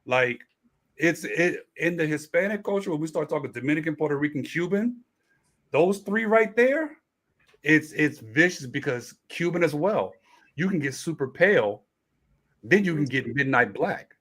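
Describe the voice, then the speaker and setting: A man's voice: on the high side, animated, moderate pace, fairly bright tone. A man hosting a casual late-night panel discussion, explaining at length and restarting his sentences. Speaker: host.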